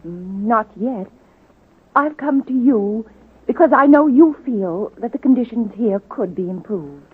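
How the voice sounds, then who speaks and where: Casting: resolute charity campaigner, slightly rough husky tone, earnest persuasion, 1940s radio drama on narrow-band recording. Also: female voice, a little high, animated, measured pace. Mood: earnest, persuasive. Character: earnest, determined woman charity reformer. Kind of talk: 1930s-40s radio drama acting. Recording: tinny, muffled old radio recording.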